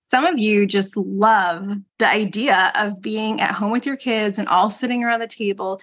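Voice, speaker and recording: A woman's voice: medium pitch, moderate pace, a bit bright. A woman co-hosting a podcast in a casual chat, talking freely with fillers, restarts and frequent laughs. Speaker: podcast co-host.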